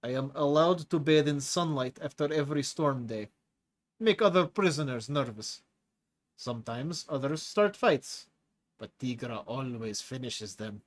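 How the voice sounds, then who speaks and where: A cocky, hulking clawed prisoner growls out broken English in a thick accent, as a male narrator puts him on. His pitch swings wide when he gets worked up, and he savors every boast. A storybook creature voice. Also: lively intonation, unhurried, natural voice. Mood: boastful. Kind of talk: audiobook character voice.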